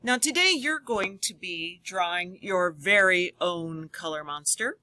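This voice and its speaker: A woman's voice: fairly low pitch, lively intonation, unhurried, sharp tone, dry recording, clean studio sound. A woman teacher reading aloud and explaining to children, speaking expressively and addressing them directly. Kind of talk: children's read-aloud and lesson.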